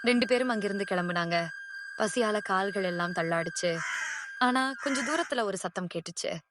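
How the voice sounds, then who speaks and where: A woman narrating a cartoon moral story in a clear, expressive way. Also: medium pitch, moderate pace, noisy background. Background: music.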